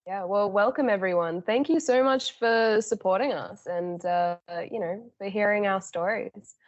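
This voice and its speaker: A woman's voice: medium pitch, moderate pace. A woman hosts a chatty podcast with a blocked-up, cold-congested voice. She talks in a friendly but hesitant way, full of ums. The recording sounds a little dull and muffled. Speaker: podcast host. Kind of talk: casual podcast chat.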